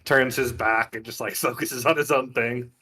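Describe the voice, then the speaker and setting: A man's voice: medium pitch, fairly even intonation, moderate pace, pushed and bright, breathy edge. A man running a tabletop fantasy game as game master, describing scenes in improvised, conversational narration.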